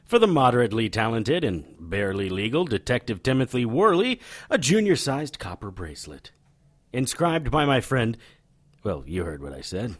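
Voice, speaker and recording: An actor playing a cocky, sardonic occult investigator in an audio drama, with a wry, taunting delivery. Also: male voice, mid-range voice, very animated, moderate pace, dry recording. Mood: playful, showy. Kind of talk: audio drama acting with noir-style narration.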